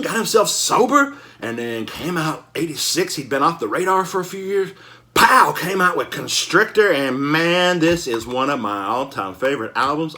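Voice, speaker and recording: A man with a forceful, bright voice hosts in a casual vlog style. His pitch swings widely as he talks in a folksy, slangy way, excitable and quick to exclaim. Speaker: host of a collecting show. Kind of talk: casual enthusiastic vlog chat.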